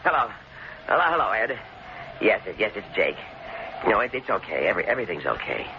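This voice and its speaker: A man's voice: on the low side, big pitch swings, a little fast. An actor playing a menacing, mocking man holding captives, in the style of an old-time radio drama. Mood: hurried, reassuring. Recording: noisy, dull old-time radio recording.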